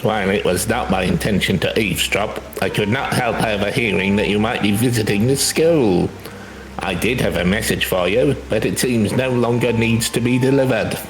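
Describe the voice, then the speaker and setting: A courteous fantasy townsman, as a man reads him out, speaking formally in a lowish voice. The sound is very roomy, with music underneath. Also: moderate pace, lightly acted. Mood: apologetic, courteous. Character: polite, formal male townsperson in a fantasy game. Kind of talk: video game dialogue read aloud.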